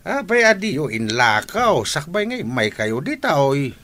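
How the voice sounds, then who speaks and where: A folksy village uncle talks slowly with an Ilocano accent. His pitch swoops about in a bright, forceful voice, in the manner of radio comedy. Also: very animated. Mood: surprised, welcoming.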